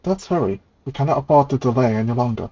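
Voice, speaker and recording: Male streamer from Canada, mid-pitched, casual playthrough and read-aloud, slightly muffled with game music under. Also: lively intonation, fast, mellow. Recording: dull mic with game music behind.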